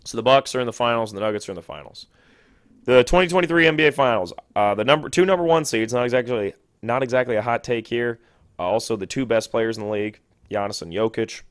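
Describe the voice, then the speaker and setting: A young man hosting a sports podcast alone, talking quickly and casually, often correcting himself mid-sentence. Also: mid-range voice, clean tone, no echo. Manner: quick, self-correcting and chatty. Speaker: podcast host. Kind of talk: solo sports podcast talk.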